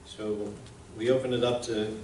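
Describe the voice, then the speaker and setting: A man giving an informal presentation, speaking in a plain-spoken, folksy, halting way. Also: on the low side, flat delivery, a little mellow. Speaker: presenter, radio station founder. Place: indoor museum event room. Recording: roomy, distant mic, clear background noise.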